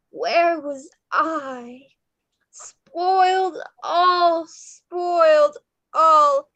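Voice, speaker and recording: A woman plays a remorseful skeleton showman, very high and bright. The delivery is dramatic and anguished, as in a table read. The recording is dry and slightly dull. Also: no echo, full character voice. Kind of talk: live table read with singing.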